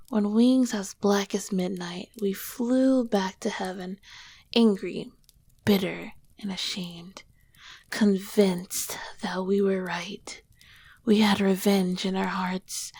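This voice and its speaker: A woman playing an ancient, tender, teasing succubus queen in an intimate ASMR roleplay, soft-spoken and often dropping to a whisper. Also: mid-range voice, husky, dry recording. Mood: wistful, solemn. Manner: slow, gentle, affectionate. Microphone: close microphone, dry.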